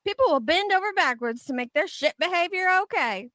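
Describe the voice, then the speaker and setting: A woman's voice: very high voice, lively intonation, brisk, fairly bright tone, dry recording, clean studio sound. A woman co-host giving playful, sweary dramatic readings of online comments, with banter in between.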